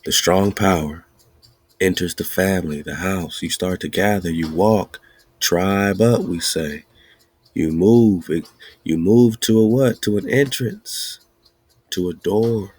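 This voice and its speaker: A man's voice: deep voice, slow pace, darkish tone, slightly rough. A man hosting a podcast, giving an emphatic, chanting, preaching-style teaching monologue, laughing often. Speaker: podcast host.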